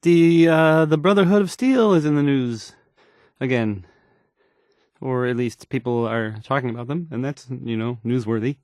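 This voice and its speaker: A man's voice: mid-range voice, normal speed, a little mellow, dry recording, clean studio sound, lightly acted. Man playing a stammering, anxious young radio DJ. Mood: hesitant, awkward. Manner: stammering, hesitant, self-doubting, trailing off. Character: shy, anxious, awkward young male radio DJ. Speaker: radio DJ reading news. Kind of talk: awkward radio news patter.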